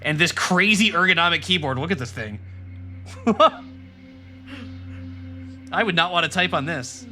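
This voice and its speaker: A man rambles and jokes while he streams a game and talks to his chat. His voice sits fairly high and sounds bright and forceful. Faint game music plays underneath. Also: animated, measured pace, long pauses. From Rhode Island, New England. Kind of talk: casual let's-play commentary.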